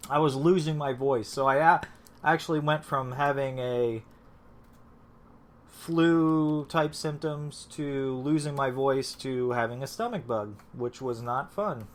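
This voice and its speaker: A man's voice: mid-range voice, slow pace. A man hosting a casual live stream, rambling and restarting with lots of fillers, just back from being sick. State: recovering from illness. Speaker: host.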